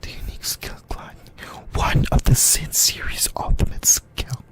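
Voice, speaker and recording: An ASMR host of unclear sex, whispering a scripted explainer in a breathy, halting way, often repeating and correcting phrases. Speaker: host of an anime ASMR channel.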